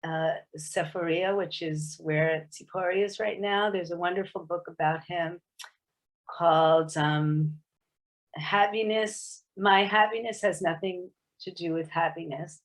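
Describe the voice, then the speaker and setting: This is a woman with a somewhat low voice, talking as a guest author on a video call. She speaks thoughtfully and tentatively, pausing and restarting her phrases as she works out what she means. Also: steady tone. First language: English. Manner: thoughtful, hesitant, many restarts. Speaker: author guest at a book club. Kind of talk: casual explanatory talk on a video meeting.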